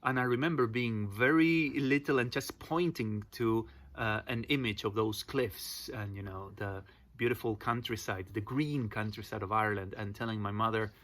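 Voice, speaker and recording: A man's voice: lowish voice, normal speed. Chatty Argentine man in his forties. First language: Spanish. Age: 40s. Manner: relaxed, chatty storytelling. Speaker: vocal percussion teacher. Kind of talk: personal story vlog.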